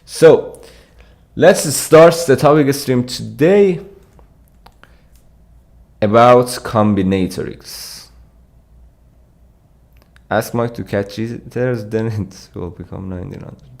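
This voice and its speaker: A man's voice: lowish voice, slow, gravelly, long pauses. A young man from Iran, a competitive programming streamer, casually answering live chat while reading it aloud. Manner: relaxed, reads and answers chat. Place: small dim room with pink light. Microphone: studio mic on arm.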